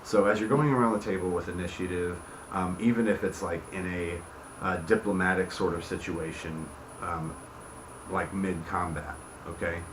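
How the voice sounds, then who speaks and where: A man's voice: low-pitched, fairly even intonation, normal speed. A man giving an informal explanation as host, often checking in with the listener with small tag questions. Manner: checks in often with "okay", "right", "you see what I'm saying". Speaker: host, game creator explaining rules. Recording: roomy echo, clear background noise.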